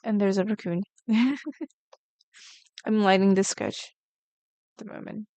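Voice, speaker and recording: Smooth-voiced woman chatting on stream. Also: mid-range voice, fairly even intonation, measured pace, a little mellow, no room sound. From Brazil. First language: Portuguese. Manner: chatty, reacts to chat messages. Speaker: artist streamer. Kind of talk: casual live-stream chat while drawing.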